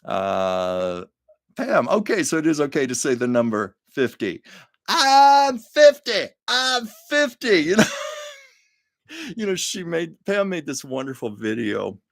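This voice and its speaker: A man's voice: fairly high pitch, sing-song, takes it slowly, vocal fry, dry recording, studio quality. A man hosting his own livestream from home, chatting casually with viewers and laughing often. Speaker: livestream host. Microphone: camera on a livestream setup.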